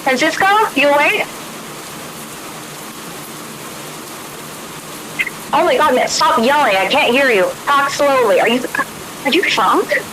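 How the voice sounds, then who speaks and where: A woman's voice: high-pitched, big pitch swings, normal speed, background noise. A woman playing an exasperated park worker on the radio in a tabletop game, casually nagging and coaxing a colleague.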